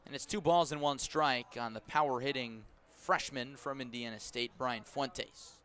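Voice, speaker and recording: A man's voice: mid-range voice, steady tone, moderate pace, a bit bright. American man calling baseball play-by-play. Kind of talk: live radio sports commentary. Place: outdoor baseball stadium at night.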